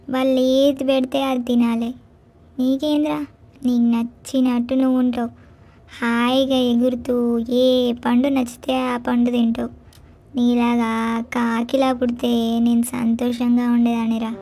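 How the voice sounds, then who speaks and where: A woman voicing discontented, self-deprecating forest birds in a children's animated moral story. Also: a little high. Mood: bitter, envious. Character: discontented forest birds: swan, parrot, caged peacock.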